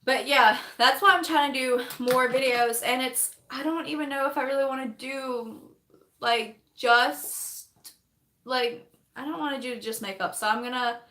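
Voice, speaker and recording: A young woman, chatting on a phone livestream while doing her makeup, rambling fast, laughing often and losing her voice. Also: high voice, bright, forceful tone, reverberant. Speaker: livestreaming vlogger.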